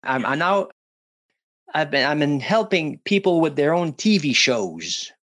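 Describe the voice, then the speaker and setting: A man from French Canada, whose first language is French, talking as a guest on an interview show in a jokey, animated way with some restarts. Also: fairly high pitch, a little slow. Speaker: guest, public speaking coach. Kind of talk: casual interview talk. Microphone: studio headphones and mic.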